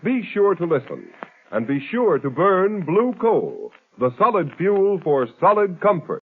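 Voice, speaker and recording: Lively old-time radio announcer, man. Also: sits high, unhurried, faint music behind. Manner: bright, emphatic, lively. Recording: tinny old radio recording.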